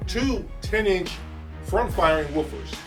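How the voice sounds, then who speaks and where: A man hosting an enthusiastic video review, speaking emphatically with dramatic pauses. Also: sits high, over music. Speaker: audio review channel host. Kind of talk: enthusiastic product review presentation to camera.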